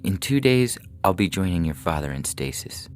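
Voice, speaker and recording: Man voicing a weary mother, gently reassuring. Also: deep voice, big pitch swings, normal speed, pitched above the performer's own voice. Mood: grave, gentle. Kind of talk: audio short story character reading. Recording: music behind the voice.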